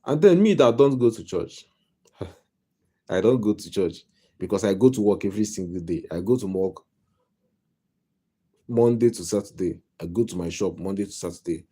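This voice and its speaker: Nigerian guy streaming from home, lowish mellow voice, goes round in circles with dry jokes. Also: animated, moderate pace, long pauses, husky. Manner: rambling and repetitive, with wry humor. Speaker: life coach streaming live. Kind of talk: casual live-stream monologue.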